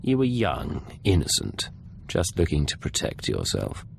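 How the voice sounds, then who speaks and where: A composed, suave spy in a video game. His voice is deep and moves with lively intonation, measured and controlled. Faint noise lies behind it. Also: gravelly, exaggerated voice. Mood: cool, measured. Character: cool, controlled male imperial secret agent. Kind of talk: scripted video game acting.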